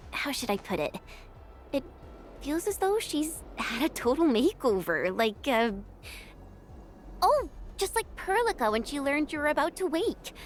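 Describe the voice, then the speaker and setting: An actress voicing an upbeat, curious young woman companion in a video game, talking in a casual, expressive way. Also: high-pitched, measured pace, a little hiss or hum. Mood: puzzled, chatty.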